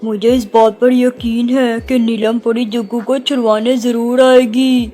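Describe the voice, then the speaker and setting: A woman's voice: fairly high pitch, flat delivery, measured pace, mellow. A woman voicing a wise elder pigeon in a cartoon moral story, giving counsel in an admonishing, assured manner. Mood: grave, assured. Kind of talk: animated moral story dialogue.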